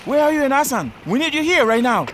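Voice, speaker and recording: An actor playing an old, crotchety pirate crewman in an audio drama, excitable and combative. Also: very high-pitched, fast, a little hiss or hum, exaggerated voice. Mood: excited, alarmed.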